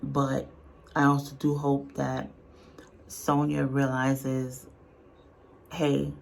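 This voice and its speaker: A woman's voice: extremely low pitch, completely flat delivery, very slow, vocal fry, slight background noise, poor audio. A woman giving casual, unscripted reaction commentary in a blunt, colloquial, earnest way. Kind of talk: casual, unscripted opinion talk.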